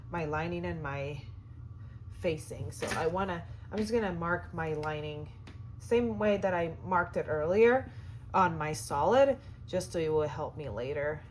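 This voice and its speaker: A woman hosting a sewing tutorial, chatty and upbeat with lots of fillers, her voice hoarse and cutting in and out. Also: lowish voice, slight background noise. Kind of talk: casual how-to demonstration.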